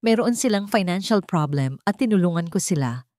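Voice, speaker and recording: A woman storyteller plays a tired, guarded husband in a romance audio story, brushing questions off curtly. The tone is mellow and the sound dry. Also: fairly low pitch, expressive, a little fast, no echo, close to an everyday voice. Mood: weary, dismissive. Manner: curt, dismissive. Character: weary, secretive husband, curt and evasive.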